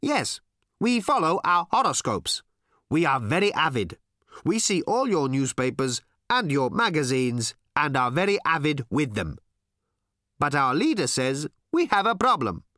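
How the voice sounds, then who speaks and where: A storyteller voicing a naive, earnest space alien who speaks in stilted, literal, drawn-out phrases. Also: mid-range voice, very animated, sharp tone, no room sound, clean studio sound, pitched above the performer's own voice. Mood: eager, avid.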